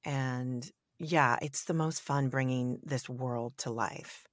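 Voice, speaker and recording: Deep raspy-voiced woman narrator. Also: steady tone, slow pace, no echo, studio quality. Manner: enthusiastic, chatty, lots of "you know" fillers. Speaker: audiobook narrator and actor. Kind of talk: conversational interview answers.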